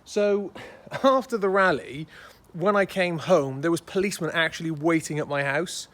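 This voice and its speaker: A hoarse man, chatting casually in a rambling, self-mocking way as he walks through his car for a vlog. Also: a little high, normal speed, a bit bright. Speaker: car vlogger.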